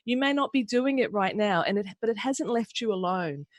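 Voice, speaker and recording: A woman's voice: mid-range voice, lively intonation, normal speed, dry recording, studio quality. Earnest New Zealand-born woman in her fifties. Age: 50s. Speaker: guest. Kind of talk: radio interview.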